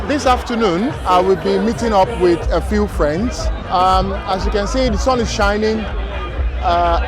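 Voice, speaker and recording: A man hosting friendly, upbeat interviews outdoors at a pride festival, with frequent ums. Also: high-pitched. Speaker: host. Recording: outdoor crowd and music behind. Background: crowd and music.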